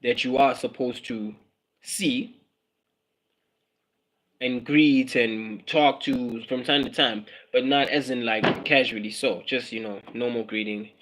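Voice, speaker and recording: A man's voice: medium pitch, fairly even intonation, takes it slowly, fairly bright tone, a little hiss or hum, rough recording. South African man musing on a livestream. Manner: musing, repeats phrases, mixes in Zulu words. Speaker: livestream host. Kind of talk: casual livestream monologue.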